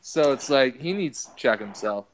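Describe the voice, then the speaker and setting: A man's voice: mid-range voice, lively intonation, moderate pace. A man in a casual podcast chat who talks in a laid-back, slangy way. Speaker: podcast co-host.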